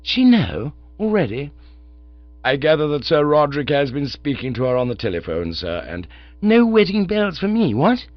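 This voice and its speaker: A man voicing an excitable young gentleman, slangy and exclaiming. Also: a little high, moderate pace, no echo, lo-fi sound, voice pushed up. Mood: flustered.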